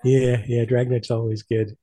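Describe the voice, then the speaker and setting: A man with a mid-pitched voice talks casually in a group, as the presenter of the evening. The sound is dry, with very little room on it. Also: little pitch movement, unhurried, mellow, dry recording. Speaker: presenter of an online old-time radio night.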